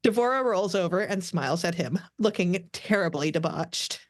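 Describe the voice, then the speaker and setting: A woman's voice: on the low side, lively intonation, normal speed, dry recording, studio quality. A woman narrating the stage directions of a script as scripted narration.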